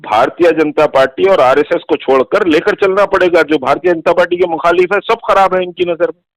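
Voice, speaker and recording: An insistent man with a Hyderabadi accent explains himself over a recorded phone call. His voice sits a little high for a man and is dry, with no room echo. He argues defensively, restating phrases to drive them home. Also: fairly even intonation, a little fast. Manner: insistent, repeats phrases for emphasis. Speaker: political activist being questioned. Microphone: telephone.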